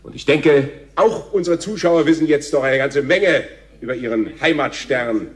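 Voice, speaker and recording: A man playing a smooth, self-important TV talk show host in a German radio play, effusive and mannered, hesitating with ers. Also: on the high side, moderate pace. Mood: gushing, showy.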